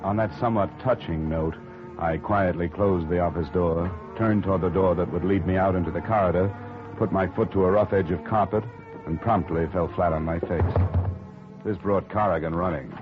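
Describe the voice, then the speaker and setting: A man's voice: sits low, fairly even intonation, moderate pace, lightly acted. A man playing a wry insurance investigator and narrator in a 1950 radio drama, laconic with dry wit. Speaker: narrator and lead detective. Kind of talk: 1940s radio acting. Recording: dull 1950 radio recording with music.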